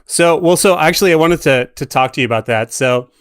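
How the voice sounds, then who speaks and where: A man's voice: fairly high pitch, fairly quick, smooth, no echo, studio quality. A man speaking as a podcast guest in a casual, conversational discussion of film.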